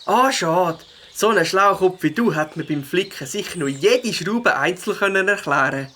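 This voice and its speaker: A man's voice: high-pitched, animated, moderate pace, pushed and bright, heavily acted. Young beaver voiced by a man for a kids' audio story: Swiss German, good-natured, childlike, swooping intonation, birdsong behind. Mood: friendly, let down.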